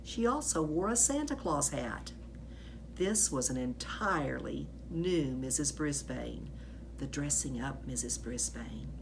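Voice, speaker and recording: Slow-paced woman reading a children's story, noisy recording. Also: extremely low pitch, gravelly. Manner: animated, with drawn-out emphasis on key words. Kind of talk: expressive read-aloud narration for children.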